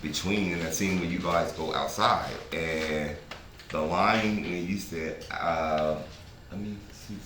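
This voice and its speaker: A man talking in a casual group discussion, halting and reflective, repeating phrases for emphasis. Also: low-pitched, fairly bright tone. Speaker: filmmaker leading a table read discussion. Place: indoor room at a table read. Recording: echoey room, distant mic, noisy background.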